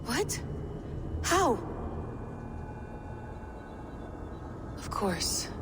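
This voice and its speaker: A woman's voice: fairly high pitch, very animated, very slow, very noisy, full character voice. An actress playing a determined, thoughtful woman adventurer in a video game, earnest and reflective, carrying some guilt. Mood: shocked. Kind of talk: naturalistic video game cutscene acting.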